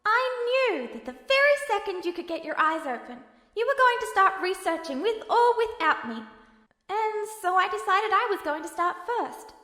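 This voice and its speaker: A woman voicing a gentle, earnest young boy whose soul lives in a suit of armor, speaking hesitantly in a story reading. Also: extremely high pitch, sing-song, normal speed, reverberant, long reverb tail, voice pushed up, exaggerated voice. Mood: earnest, resolute.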